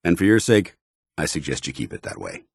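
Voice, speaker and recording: An American actor playing a stern, principled military paladin in a video game, with clipped, formal, authoritative diction. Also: male voice, extremely low pitch, big pitch swings, a little fast, creaky, breathy edge, no room sound, studio quality, exaggerated voice. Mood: tense, commanding. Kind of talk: video game companion dialogue.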